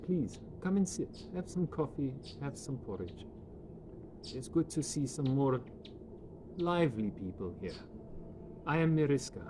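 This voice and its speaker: A man's voice: medium pitch, big pitch swings, a little slow, pauses often, noisy background, voice pushed up. A man voicing a young, solemn and welcoming Vistani woman in a tabletop game. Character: young Vistani woman, quiet, weary, welcoming fortune-seer.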